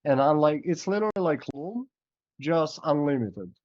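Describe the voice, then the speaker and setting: A man speaks as an in a casual coaching call, hoarse and running low on voice. His explanations wander with "like" and "uh". The video-call sound is dry and dull. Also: animated, moderate pace, a little mellow, no echo. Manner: loose, filler-heavy explaining. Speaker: coach giving feedback.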